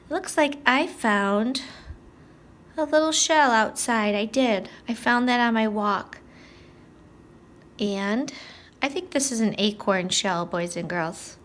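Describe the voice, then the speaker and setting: A woman's voice: a little high, slow, pauses often, a bit bright, a little hiss or hum. A woman teacher leading story time for young children, talking to them directly in a sing-song way with simple questions.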